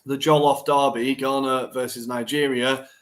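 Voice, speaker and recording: A man co-hosting a podcast, talking through football results in a loose, animated way. He is blunt with his opinions and slips into laughter easily. His voice is mid-pitched and ordinary. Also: normal speed. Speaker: podcast co-host. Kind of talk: casual football recap chat.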